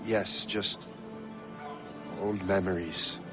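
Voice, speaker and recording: A grave young ship captain. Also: male voice, low-pitched, fairly even intonation, very slow, over music. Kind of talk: video game cutscene acting.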